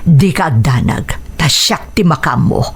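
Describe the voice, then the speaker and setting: An actress playing a gentle middle-aged Ilocano mother, soothing and expressive, in a radio drama. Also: deep voice, measured pace, gravelly, husky, full character voice. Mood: soothing, concerned.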